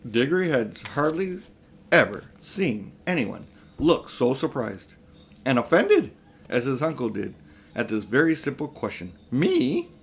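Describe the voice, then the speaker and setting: A man's voice: medium pitch, sing-song, a little slow, creaky, a little hiss or hum. An older man reading a children's story aloud as scripted audiobook narration.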